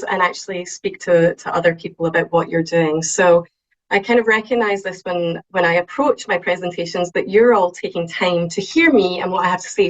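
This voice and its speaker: A woman with a strong Scottish accent giving an online talk, lively and excitable, with frequent ums. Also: lowish voice, muffled recording. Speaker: invited speaker. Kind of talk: webinar talk on presenting.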